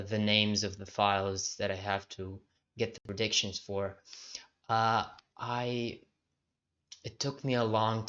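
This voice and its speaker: A young man casually walking through his own code, halting often with fillers and restarts. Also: on the low side, sharp tone. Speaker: programming diary vlogger. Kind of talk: casual screen-share walkthrough. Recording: dull lo-fi audio.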